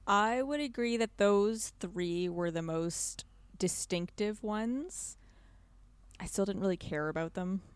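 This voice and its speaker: A woman co-hosting a podcast, talking about video games in a blunt, informal, animated way. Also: mid-range voice, slow pace, clean tone. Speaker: podcast co-host. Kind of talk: casual video game discussion.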